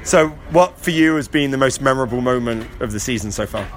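A man interviewing a rugby player in a casual way, halting with frequent ums. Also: mid-range voice, a crowd in the background.

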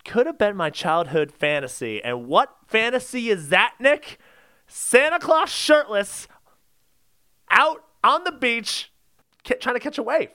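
A man's voice: very high-pitched, expressive, measured pace, sharp tone. A man co-hosting a podcast in a casual comedic debate, emphatic and insistent, often repeating himself for effect. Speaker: podcast co-host.

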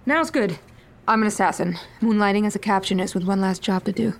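A woman playing a dry, skeptical assassin in an audio drama, questioning in a deadpan way. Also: mid-range voice, fairly quick, creaky, natural voice. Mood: hesitant.

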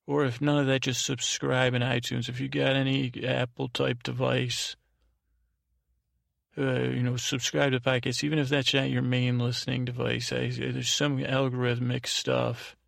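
A man hosting a podcast in a casual, meandering way, halting and restarting phrases as he goes, with a creaky, gravelly voice. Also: mid-range voice, monotone, fairly bright tone, no echo, studio quality. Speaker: podcast host. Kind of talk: rambling casual monologue with thank-yous.

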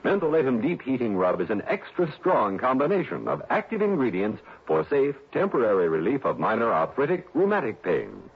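Husky, highly animated male ad announcer. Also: brisk, hardly any pauses. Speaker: commercial announcer. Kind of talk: scripted 1960s radio ad read. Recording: tinny, narrow old radio broadcast.

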